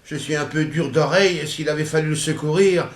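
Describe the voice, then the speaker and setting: An actor playing a frail old servant who is hard of hearing, in a 1960s French radio drama with a roomy sound. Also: male voice, a little high, fairly quick, lightly acted. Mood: plaintive.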